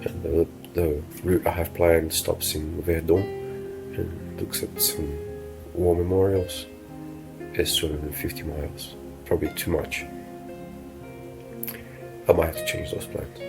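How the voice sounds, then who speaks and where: Man, stuffy blocked nose, deep voice, tired easygoing travel vlog, music playing behind. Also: level tone, a little slow, creaky, pauses often. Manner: tired, laid-back, rambling with fillers. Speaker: motorcycle travel vlogger. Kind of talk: casual vlog talk to camera.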